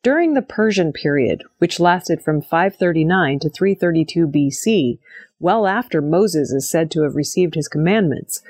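A woman's voice: sits low, animated, moderate pace, darkish tone, dry recording. A woman reading scripted news items as a narrator. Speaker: news reader.

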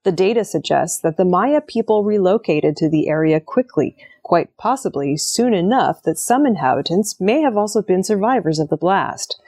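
A woman's voice: fairly low pitch, expressive, normal speed, hardly any pauses, warm tone. A woman reading scripted news narration as a news presenter. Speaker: news reader.

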